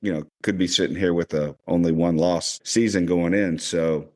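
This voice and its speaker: A man with a cold and a raspy voice, chatting casually as a podcast host about basketball. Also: sits low, normal speed. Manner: relaxed, rambling, many fillers. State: hoarse from a cold. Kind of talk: casual sports chat.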